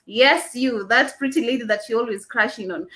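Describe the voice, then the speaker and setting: A young woman hosts a casual morning show, rambling along with plenty of fillers. Her voice sits a little high and sounds very bright. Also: normal speed. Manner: chatty, rambling with many fillers. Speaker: radio show host.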